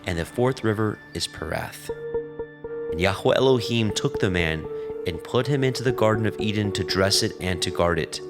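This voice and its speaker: A man narrating scripture in a steady, reverent reading. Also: fairly low pitch, moderate pace. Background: background music.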